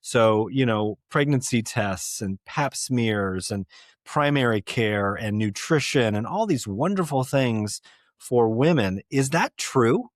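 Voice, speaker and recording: Guy hosting a podcast, even middle pitch, lots of uhs, dry close sound. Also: no echo. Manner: measured, with frequent "uh" fillers. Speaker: podcast host. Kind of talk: interview podcast.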